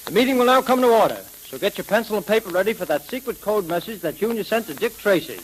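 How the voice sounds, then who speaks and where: An American actor playing an eager, boyish police sidekick in slangy 1930s radio style. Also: male voice, sits high, lively intonation, fast, poor audio. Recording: hissy 1930s radio recording.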